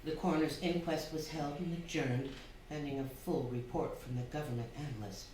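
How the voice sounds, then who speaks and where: A performer playing a wry, measured narrator in a stage radio drama, telling the story deliberately. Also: mid-range voice, steady tone, darkish tone, lightly acted. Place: theatre stage, live performance. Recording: very echoey hall, distant mic, faint noise.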